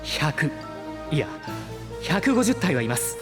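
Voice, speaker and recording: A man's voice: on the high side, sing-song, slow pace. A man voicing an obedient, polite AI assistant in a Japanese superhero audio drama, with concise, announcement-like lines. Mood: concerned. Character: obedient dream-coordinating AI assistant calling out hero gadgets. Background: prominent music.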